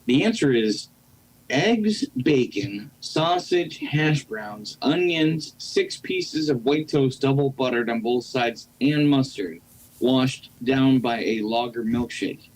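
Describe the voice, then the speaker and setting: A man acting in an amateur audio play as a deadpan fellow, reading his lines out slowly. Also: mid-range voice, full character voice, low-quality recording.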